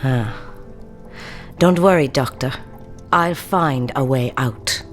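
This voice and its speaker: An actress in an audio drama playing a guarded, defiant captive scientist with a terse, dry delivery. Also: female voice, very deep voice, takes it slowly, faint music behind.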